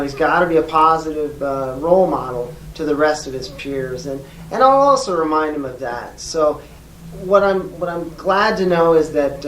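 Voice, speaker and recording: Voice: mid-range voice, lightly acted. Echoey, distant, diplomatic schoolteacher, acted out in a role-play. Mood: encouraging. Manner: hesitant, measured, diplomatic, frequent pauses. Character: polite, diplomatic schoolteacher phoning a parent. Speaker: teacher. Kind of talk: role-played phone call demonstration. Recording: echoey room, distant mic, faint noise.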